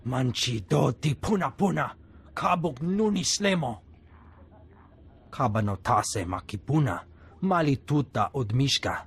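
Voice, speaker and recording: A man voicing a gruff alien gangster who speaks an invented tongue in clipped, emphatic phrases, for a video game. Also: medium pitch, animated, unhurried, full character voice. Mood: businesslike. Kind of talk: video game cutscene acting.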